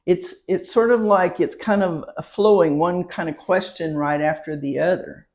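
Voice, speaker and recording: A woman's voice: lowish voice, moderate pace, a little mellow. A woman teaching a citizenship class, patiently repeating words for her learners and laughing lightly between points. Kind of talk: classroom pronunciation lesson.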